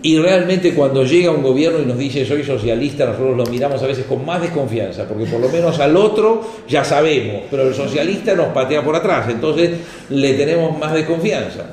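A man's voice: medium pitch, a little fast, darkish tone. A man from Argentina giving a reflective talk to an audience, speaking in a discursive way that builds to rhetorical questions. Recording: echoey room with faint ambience.

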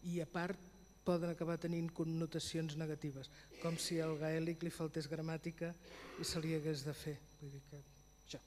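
Flat, higher-voiced man in a hall. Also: brisk, hardly any pauses. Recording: reverberant hall with faint hum.

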